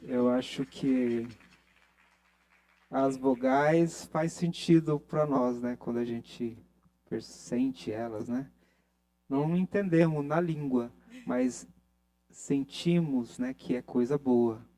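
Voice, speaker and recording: A man's voice: medium pitch, expressive, slow, warm tone. A man with a Brazilian accent talking informally to an audience as a host, reflective and often checking in with short tags.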